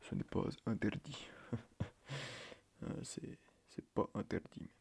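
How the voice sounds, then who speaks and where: A man playing a fussy, flustered schoolteacher in a soft-spoken ASMR roleplay, hesitant, breathy and stiffly formal, often muttering near a whisper. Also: lowish voice, slow pace, exaggerated voice. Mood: nervous, polite. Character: fussy, flustered male schoolteacher on first day.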